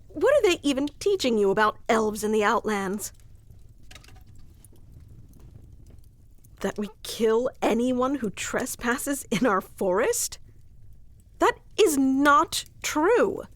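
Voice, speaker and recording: A woman's voice: high-pitched, no room sound, exaggerated voice. A woman playing a haughty, easily flustered young female elf guarding her forest in a scripted fantasy roleplay, with prickly, old-fashioned wording. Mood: indignant, defensive. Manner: prickly tsundere, archaic wording, stammers when flustered.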